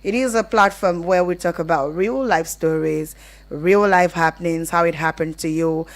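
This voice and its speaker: A woman's voice: on the low side, moderate pace. A woman with a Nigerian accent presenting a live radio advice call-in show, explaining things conversationally and often rephrasing as she goes.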